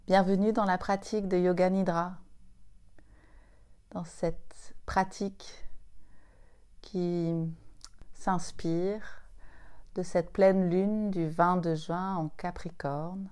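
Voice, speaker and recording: Very slow, soft-toned woman guiding meditation. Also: lowish voice, fairly even intonation, smooth. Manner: soothing, unhurried, with long pauses between short phrases. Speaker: yoga nidra teacher. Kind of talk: guided meditation.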